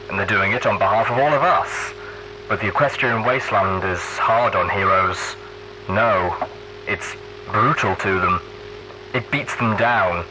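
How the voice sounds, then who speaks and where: A man's voice: fairly low pitch, noisy background. A man playing an earnest pony radio DJ, preaching to wasteland listeners in a folksy, solemn way, like a post-apocalyptic broadcast. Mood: solemn, rousing.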